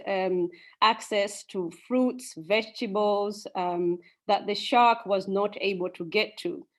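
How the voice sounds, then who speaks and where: A young woman from Tanzania speaking to an audience and then telling a folk story, unhurried, with many ums and uhs. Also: mid-range voice. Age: young adult. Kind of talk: storytelling talk.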